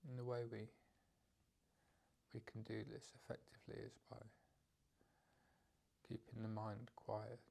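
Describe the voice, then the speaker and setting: A man teaches a guided meditation in a dark, relaxed voice with plenty of creak. He feels his way through the phrases unscripted, leaving gentle pauses between them. The pitch sits in a middling male register and stays fairly even.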